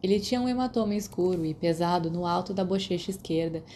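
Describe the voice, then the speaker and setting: Mellow Brazilian woman narrating a story. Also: lowish voice, quick pace, slight background noise. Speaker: audiobook narrator.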